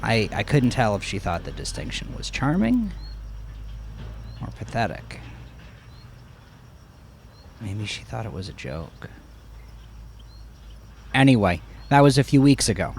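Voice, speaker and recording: A man's voice: lowish voice, big pitch swings, a little slow, pauses often, slight background noise. A man narrating a first-person story expressively, acting out the storyteller's reactions as he goes. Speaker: author narrating own horror story.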